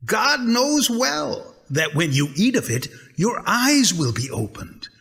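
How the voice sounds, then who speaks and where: Man voicing a chuckling, sly tempter snake, high-pitched. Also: very animated, takes it slowly, creaky, sharp tone, full character voice. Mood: gleeful, tempting. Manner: chuckling, insinuating. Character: sly, cunning tempter snake, gleefully deceitful. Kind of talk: dramatic scripture reading.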